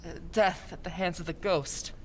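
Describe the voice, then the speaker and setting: Lady doing a polite nervous young fella in a radio play, lowish voice, bit of noise behind. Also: fairly even intonation, moderate pace, natural voice. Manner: hesitant, deferential. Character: Edward Darrow, nervous, polite young male civic reformer. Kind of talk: old-time radio drama acting.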